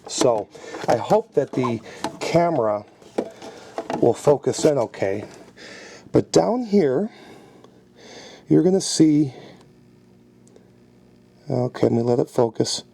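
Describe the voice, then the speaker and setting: A man's voice: medium pitch, vocal fry, warm tone. A middle-aged man giving an informal, conversational product demonstration in an explanatory way. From United States. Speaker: scanner hobbyist demonstrating his collection. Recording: noticeable background noise.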